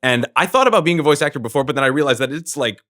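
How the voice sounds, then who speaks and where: A man's voice: a little high, animated, very fast, fairly bright tone. A man interviewing a voice cast at a convention, chatty and laughing, with rambling questions. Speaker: podcast interviewer.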